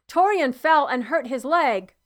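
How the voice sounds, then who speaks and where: A loud, anxious father with a fairly high voice, put on by a woman reading a story. He is stout and red-faced, and he pleads and scolds in a stern, strained tone. The storybook telling keeps him close to the reader's own voice. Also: expressive, unhurried. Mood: pleading.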